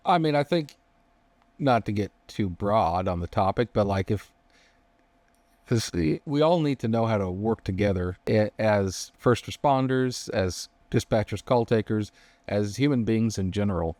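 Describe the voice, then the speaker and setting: Male co-host, fairly low voice, casual and careful, dry studio-close sound. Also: animated, a little slow, darkish tone, no room sound. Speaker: podcast co-host. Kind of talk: casual discussion.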